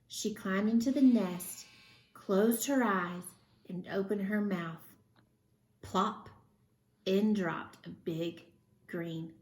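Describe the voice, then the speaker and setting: Female storybook reader: unhurried, expressive read-aloud delivery for young children, recorded with audible room echo. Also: mid-range voice. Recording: roomy, echoey sound.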